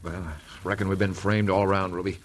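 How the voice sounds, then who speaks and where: Low-voiced, hot-tempered wronged cowboy. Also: male voice, flat delivery, fast. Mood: bitter. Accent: American. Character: wronged, hot-tempered Western ex-convict husband. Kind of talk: old-time radio western acting.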